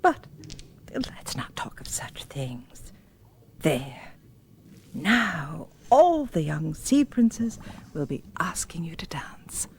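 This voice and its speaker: Slow, fussy mermaid grandmother. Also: sits low, very animated, a little hiss or hum, exaggerated voice. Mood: coaxing. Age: older. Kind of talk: animated fairy tale character acting.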